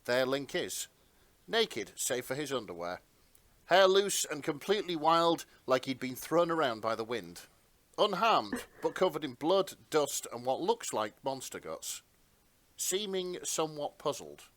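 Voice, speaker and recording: A man's voice: a little high, very animated, a little slow, fairly bright tone, no room sound. A man narrating a story in a dramatic reading style for an amateur fan fiction performance. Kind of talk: dramatic narration and casual banter.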